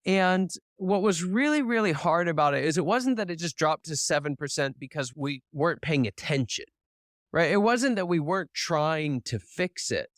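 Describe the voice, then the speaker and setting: A man recounts his own story in a candid, reflective way. His voice sits a little higher than most men's, and it sounds close and dry with no room echo. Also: expressive, moderate pace. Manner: earnest and reflective, often adds "right?". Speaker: podcast guest, business founder.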